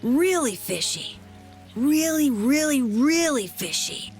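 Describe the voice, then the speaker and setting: A woman voicing an excitable, earnest young kid adventurer in a video game, eager and emphatic. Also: sits high, slow pace, exaggerated voice. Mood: suspicious. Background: game music.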